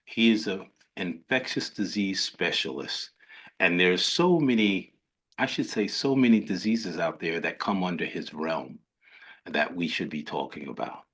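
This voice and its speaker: A man's voice: low-pitched, measured pace, fairly bright tone, slightly rough. An older man hosting a medical webinar, talking in an effusive, rambling way with many restarts. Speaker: host, physician.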